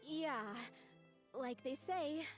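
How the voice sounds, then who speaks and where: An actress playing a practical, friendly young theater troupe member in conversational video game dialogue. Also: female voice, high voice, drawn-out words, soft background music. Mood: matter-of-fact.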